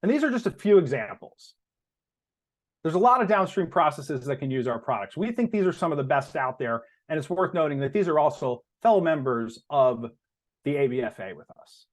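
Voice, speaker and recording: Male corporate presenter, slightly high voice, lively intonation, deliberate emphasis on key terms, explanatory earnings-call delivery.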